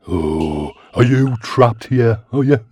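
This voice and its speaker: Husky, cheeky Geordie worm. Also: male voice, medium pitch, very animated, drawn-out words, darkish tone, faint music behind, heavily acted. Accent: Geordie, northeast England. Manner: rambling, blunt, colloquial. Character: cheeky, chatty twenty-foot talking lantern worm. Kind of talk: comic radio sitcom acting.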